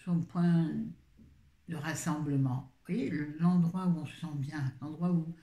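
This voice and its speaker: Woman, book vlogger, chatty, rambling, mellow voice, echoey room. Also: low-pitched, steady tone, measured pace. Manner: rambling, chatty, self-interrupting. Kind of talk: casual book review chat. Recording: roomy echo.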